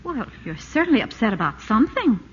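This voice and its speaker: A fussy, witty suburban wife. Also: female voice, on the high side, sing-song, fairly quick, poor audio. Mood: teasing. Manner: precise and fussy, then teasing and laughing. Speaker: radio actress. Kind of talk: 1940s radio acting. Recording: hissy old radio broadcast.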